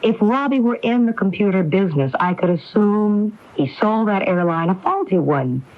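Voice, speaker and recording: An actress playing a determined, anguished widow in a radio mystery drama, speaking in an insistent, probing way. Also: female voice, medium pitch, animated, moderate pace, natural voice. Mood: pleading, weary. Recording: dull lo-fi AM radio broadcast recording.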